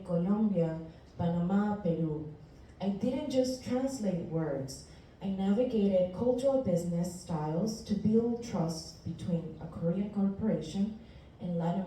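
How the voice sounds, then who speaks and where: A woman in her twenties from Ecuador, a native Spanish speaker, giving an earnest, deliberate prepared presentation as a university student. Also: mid-range voice, warm tone. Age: 20s. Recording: echoey room, distant mic, faint noise.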